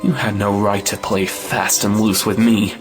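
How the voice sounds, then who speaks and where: An actor playing an impetuous, possessive young gentleman suitor in an audio play, theatrical and emphatic. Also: fairly low pitch, little pitch movement, a little slow, faint music behind, lightly acted. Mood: indignant, possessive. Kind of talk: audio play acting of a 1910s stage comedy.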